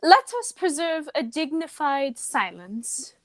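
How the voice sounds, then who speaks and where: A woman's voice: high voice, big pitch swings, slow, no echo, exaggerated voice. A woman acting a haughty, dignified young society lady in a radio play comedy, speaking in a formal, declarative way.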